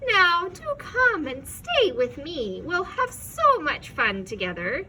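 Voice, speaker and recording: Sly petty demon guy, soft and sneaky, bright voice, woman putting him on. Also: sits high, big pitch swings, takes it slowly, slight background noise, pitched above the performer's own voice, exaggerated voice. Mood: wheedling. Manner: soft wheedling menace. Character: sly scheming male demon of petty tasks.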